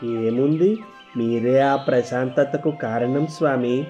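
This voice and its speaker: A man voicing a respectful, deferential attendant to a swami in an animated story. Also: medium pitch, slow, lo-fi sound, over music, close to an everyday voice. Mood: reverent. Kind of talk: animated moral story dialogue.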